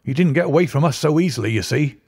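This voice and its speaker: A man's voice: on the high side, lively intonation, quick pace, darkish tone, slightly rough, pitched above the performer's own voice. A man reading an audiobook, voicing a bluff, hearty middle-aged police inspector with an emphatic manner. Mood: delighted.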